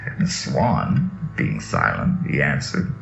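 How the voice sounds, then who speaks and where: A man with a gravelly voice gives a slow, dramatic, incantatory reading of mystical scripture. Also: a bass voice, huge pitch swings. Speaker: reader of sacred texts. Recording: echoey, dull audio under music. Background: music.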